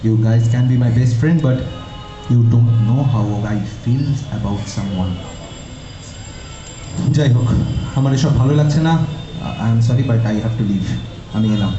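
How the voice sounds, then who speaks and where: A man's voice: medium pitch, music in the background, lightly acted. Man acting a earnest lovestruck college boy, echoey recording. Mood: wounded, defiant. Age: young adult. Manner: hesitant, halting, stammering when nervous. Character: lovestruck young male college student, earnest, nervous, touchy. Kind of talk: audio drama acting. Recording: dull echoey recording with background noise.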